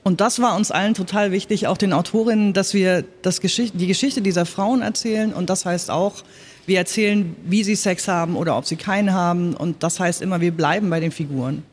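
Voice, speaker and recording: A woman's voice: lowish voice, a little fast, darkish tone, breathy edge. A woman speaking in a panel talk as a radio drama director, explaining her work in an earnest, emphatic way.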